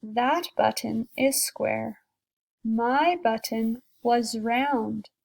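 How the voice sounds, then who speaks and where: A woman's voice: fairly high pitch, extremely slow, gravelly, darkish tone, exaggerated voice. A woman voicing a fussy, easily upset male toad from a storybook, complaining indignantly.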